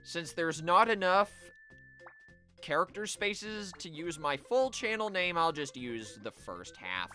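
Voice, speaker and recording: A man live streaming a video game, chatting to viewers and commenting in an animated way. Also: on the high side, slow, soft background music. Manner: animated, voice-acts game dialogue.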